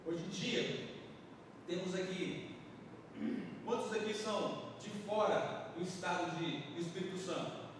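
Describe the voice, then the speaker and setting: A male pastor from Brazil preaches in a chatty, conversational style, his voice sitting fairly high for a man. He is picked up from a distance, with a long reverberant church hall tail. Steady room noise sits underneath. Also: measured pace, long pauses. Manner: conversational preaching, frequent tag questions to the congregation. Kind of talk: sermon. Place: church sanctuary. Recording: echoey church hall from far off with background noise.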